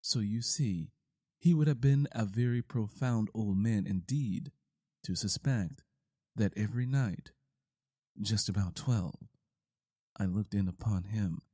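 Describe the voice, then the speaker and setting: Low, husky, slow male storyteller. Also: mellow. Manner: theatrical, deliberate, dramatic pauses. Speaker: narrator performing a horror story. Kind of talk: dramatic scripted narration in the first person.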